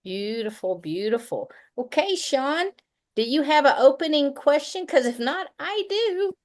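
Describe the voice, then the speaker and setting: A woman's voice: high voice, lively intonation, measured pace. A woman chatting casually as co-host of an online interview.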